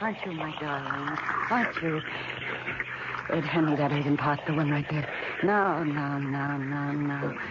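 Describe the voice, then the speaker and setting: A woman's voice: low-pitched, unhurried. An actress playing an eccentric, doting wife who coos and fusses over her plants in an old radio drama. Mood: tender, cooing. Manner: cooing, coaxing, fussing. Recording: noisy, muffled old radio broadcast.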